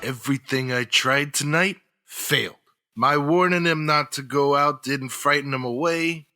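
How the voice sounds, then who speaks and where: A man's voice: on the high side, a little slow, sharp tone. A man playing a smug, menacing crime boss and escaped convict, plotting in a comic audio drama. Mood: smug, scheming.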